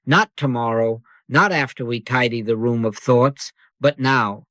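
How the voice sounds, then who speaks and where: A man leading a slow guided meditation in the manner of a sermon, speaking in a hushed cadence full of scripture. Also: medium pitch, no room sound. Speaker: spiritual teacher.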